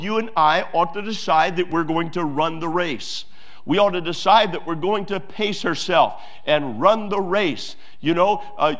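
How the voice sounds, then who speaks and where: A man's voice: high voice, a bit bright. A pastor preaching a sermon to his church, emphatic, with repeated phrases and many fillers.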